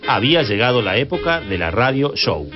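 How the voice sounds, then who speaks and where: A man's voice: mid-range voice, sing-song, fairly quick. A man with an Argentine accent reading scripted documentary narration. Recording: dull band, loud music behind.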